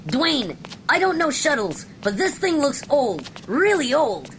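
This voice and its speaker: A woman's voice: high voice, very animated, unhurried, a bit bright, a little hiss or hum, heavily acted, poor audio. A woman playing a grumbling male spacefarer, casual and slangy, in an amateur sci-fi radio play. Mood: puzzled, resigned.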